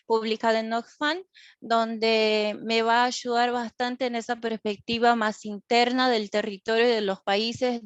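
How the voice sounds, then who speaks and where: A woman's voice: a little high, no room sound. A woman speaking Spanish as a second language, giving a careful, slightly hesitant class presentation over a video call. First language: not Spanish. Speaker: student presenter. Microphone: video call microphone. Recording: dull lo-fi video-call audio.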